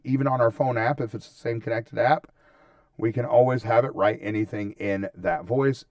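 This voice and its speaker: A man's voice: on the low side, darkish tone, no echo, far from the mic. A man hosting a casual screen-share tutorial, talking through each step informally and often checking in with a short tag question. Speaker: tutorial host.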